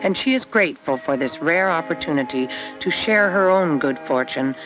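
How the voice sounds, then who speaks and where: Vintage educational film narrator, measured storyteller, mid-pitched voice, lo-fi soundtrack with prominent guitar music. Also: lively intonation. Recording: dull lo-fi 1970s film soundtrack.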